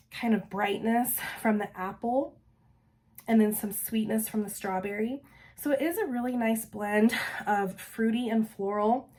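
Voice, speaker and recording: A woman's voice: a little high, darkish tone. A woman chatting casually in a product review, her voice still a little off after losing it to an illness, enthusiastic as she describes each scent. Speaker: vlogger reviewing scented wax.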